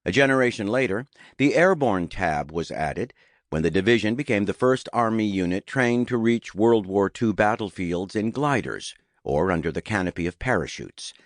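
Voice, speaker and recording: Man, deep voice, measured audiobook narration, deliberate delivery, dry close studio sound. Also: lively intonation, no echo. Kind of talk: scripted nonfiction narration. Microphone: close studio microphone.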